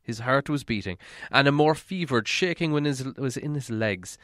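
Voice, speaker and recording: A man reading a fantasy story aloud as dramatic narration, with theatrical, deliberate phrasing. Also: mid-range voice, expressive, a bit bright, no echo, clean studio sound. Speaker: audiobook reader.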